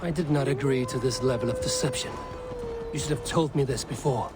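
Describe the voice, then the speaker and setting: An actor playing a blunt, honest Viking raider hero who talks in a firm, plain way. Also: male voice, medium pitch, brisk, over loud music, natural voice.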